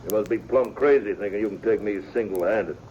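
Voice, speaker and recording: An actor playing a gruff, suspicious frontier outlaw with a rough, menacing country drawl in an old radio western. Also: male voice, fairly low pitch, fairly quick, warm tone. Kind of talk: 1950s radio drama acting. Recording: muffled old radio recording.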